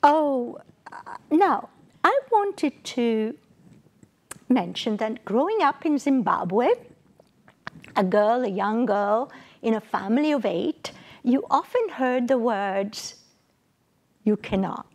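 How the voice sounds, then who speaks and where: Slow, halting high-voiced woman host. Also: animated. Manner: halting, many fillers and restarts. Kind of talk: community TV interview. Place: small TV studio.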